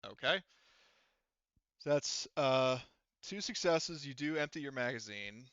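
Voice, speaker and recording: A man's voice: mid-range voice, animated, a little slow, fairly bright tone, smooth, no room sound, dull audio. A man speaking as game master, running a tabletop role-playing game and explaining rules and outcomes to players.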